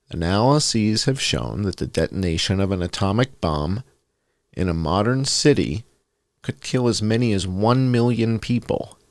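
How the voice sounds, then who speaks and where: Guy w a lowish voice reading a serious piece out loud like a bedtime story, pitch moves around a lot. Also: dry recording. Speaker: host reading an essay aloud. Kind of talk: scripted narration, read aloud as bedtime story.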